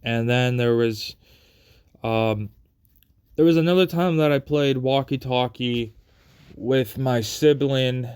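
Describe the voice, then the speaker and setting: A man casually telling personal stories in a rambling, repetitive and halting way. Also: mid-range voice, a little mellow, clear, resonant tone. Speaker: storyteller.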